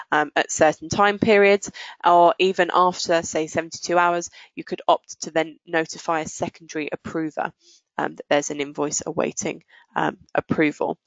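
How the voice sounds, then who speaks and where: A woman presenting a software demo and answering questions as a webinar host, explaining things in business-like phrasing. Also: fairly low pitch, no echo, dull audio.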